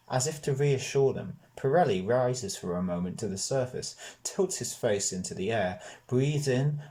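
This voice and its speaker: A man narrating a children's novel in an expressive storybook style. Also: mid-range voice.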